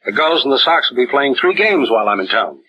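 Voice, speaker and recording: Lively, direct baseball official, old radio. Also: male voice, medium pitch, fast, breathy edge. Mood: firm. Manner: plain-spoken, direct, clipped. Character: steady, probing baseball league troubleshooter, concerned male friend. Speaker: radio actor. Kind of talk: old-time radio drama acting. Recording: dull, noisy old radio recording.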